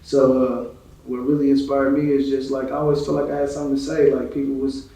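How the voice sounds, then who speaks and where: Man, level pitch, slangy interview talk, very echoey room. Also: little pitch movement, fast talker, warm tone. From Chicago, United States. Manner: slangy, profane, frequent "you know what I'm saying". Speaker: interview guest, rap artist. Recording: echoey room, distant from mic.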